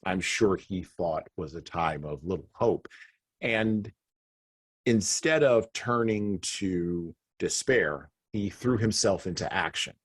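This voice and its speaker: Deep husky-voiced male podcast host. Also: takes it slowly, no room sound. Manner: reflective, laughs between points. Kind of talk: conversational podcast discussion.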